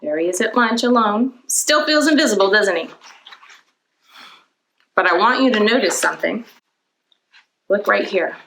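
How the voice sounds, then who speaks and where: A woman's voice: medium pitch, expressive, normal speed, gravelly, pauses often, a bit bright, slight background noise, low-quality recording. A woman hosting a children's storytime, reading and explaining simply to young listeners and often putting questions to them. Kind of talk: children's storytime read-aloud and talk to young viewers.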